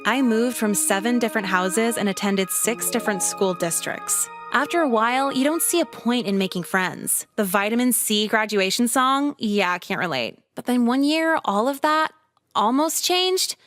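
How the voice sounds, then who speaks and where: Visual novel lead: cynical teen girl played by a woman, higher-pitched, deadpan, music clearly behind. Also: normal speed, lightly acted. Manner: deadpan, cynical, casually caustic. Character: jaded, sardonic, foul-mouthed teenage high school girl. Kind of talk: visual novel game voice acting, monologue and dialogue.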